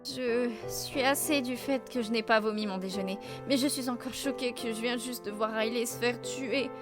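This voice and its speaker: A woman's voice: on the high side, steady tone, normal speed, natural voice. A woman voicing a sweet, sensitive, earnest and hesitant teenage schoolgirl in an amateur cartoon dub. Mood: shaken, grateful. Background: music.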